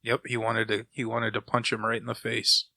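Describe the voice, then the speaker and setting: A male podcast co-host in relaxed, agreeable casual chat. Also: medium pitch, moderate pace, vocal fry, dry recording. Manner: relaxed, agreeable back-and-forth.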